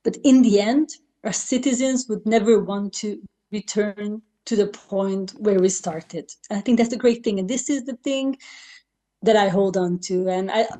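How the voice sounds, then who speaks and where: Hungarian-accented woman, mellow earnest politician. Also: medium pitch. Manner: earnest, halting, with restarts. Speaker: local politician. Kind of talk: panel speech. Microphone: video call.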